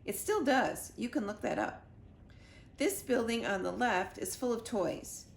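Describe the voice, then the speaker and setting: A woman narrating a children's storybook in an expressive read-aloud style. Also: fairly high pitch, measured pace, vocal fry. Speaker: storybook reader. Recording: echoey room with faint noise.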